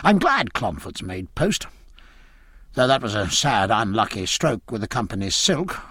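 A man's voice: on the low side, big pitch swings, measured pace, fairly bright tone, slightly rough, dry recording, clean studio sound, voice pushed down, full character voice. A man voicing a hearty, garrulous older British admiral in a naval audiobook, blustering and fond of proverbs. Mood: jovial, blustering. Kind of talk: naval historical audiobook character dialogue.

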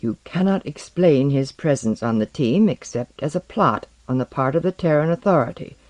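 A narrator voicing a reserved, wary male crew member with a measured, confiding manner. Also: medium pitch, lively intonation, darkish tone, no echo, natural voice. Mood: suspicious.

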